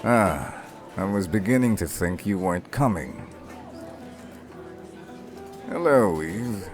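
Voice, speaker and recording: A man acting in an audio roleplay as a stern, condescending father, formal, deliberate and snide. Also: sits low, expressive, over music. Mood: cool, curt.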